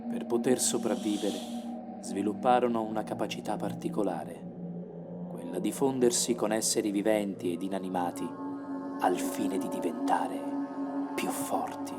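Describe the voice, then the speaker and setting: A man's voice: fairly low pitch, natural voice. A man performing ominous scripted narration for a motion comic. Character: ominous narrator of an infernal demon world. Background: loud music.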